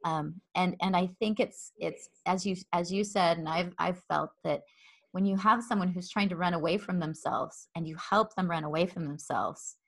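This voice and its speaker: Woman, interview host, lowish pitch, earnest, wordy, many ums. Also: normal speed, vocal fry, fairly bright tone. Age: adult with a child. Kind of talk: interview conversation.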